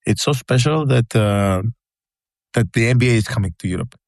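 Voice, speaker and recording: A man from Greece with a Greek accent, co-hosting a casual sports podcast, talking in an animated, emphatic way and repeating himself for effect. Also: medium pitch, moderate pace, no room sound, clean studio sound. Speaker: podcast co-host. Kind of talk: casual chat.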